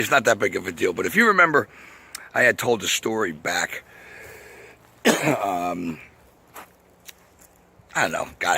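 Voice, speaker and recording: Man, raspy voice, bright edge, rambling vlog talk, laughs a lot, outdoors, faint noise. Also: on the low side. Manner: rambling, laughing often, swearing. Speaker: vlogger. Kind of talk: casual outdoor video monologue. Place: outdoors by a path.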